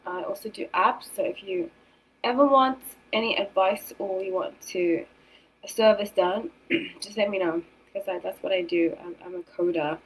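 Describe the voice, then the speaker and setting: British woman in her 30s doing a casual livestream chat, mid pitch, rambles and repeats herself for emphasis. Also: moderate pace, breathy edge, rough recording. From South Africa and England. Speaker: tarot reader and streamer host.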